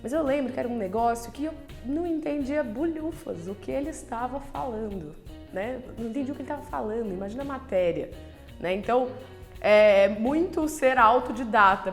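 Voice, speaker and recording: A nutritionist, talking frankly to camera in a chatty way, full of filler words and rhetorical questions. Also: female voice, fairly high pitch, expressive, a little fast, soft background music. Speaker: nutritionist and channel host. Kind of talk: casual talk to camera.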